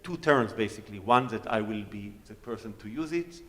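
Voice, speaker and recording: A man's voice: fairly low pitch, animated, fairly quick, a bit bright. Brief: male cello soloist, Hebrew native, easygoing joking stage talk, long hall reverb. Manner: chatty, joking storyteller. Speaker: guest soloist cellist. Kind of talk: informal talk and audience Q&A on stage.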